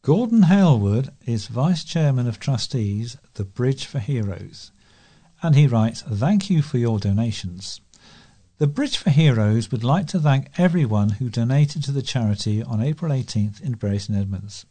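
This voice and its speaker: A man reading letters and articles aloud as a volunteer news reader, in a measured, scripted delivery. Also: medium pitch, mellow.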